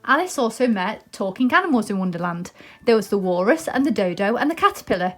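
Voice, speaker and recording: A woman's voice: medium pitch, very animated, quick pace, soft background music. A British woman presenting and reading a children's story aloud.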